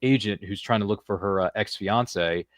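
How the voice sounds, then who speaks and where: A man's voice: on the low side, normal speed, dry recording, studio quality. A man hosting a podcast in a casual interview style, chatty and given to repeating phrases. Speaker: podcast host.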